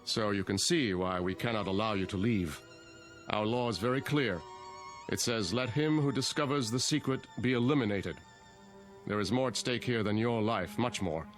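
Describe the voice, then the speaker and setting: Radio drama role, played by a man: calm, low-pitched keeper of dangerous science, politely condescending, formal, quietly threatening. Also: normal speed, soft background music, close to an everyday voice. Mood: polite menace. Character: calm, courteous, quietly menacing male guardian of secret science.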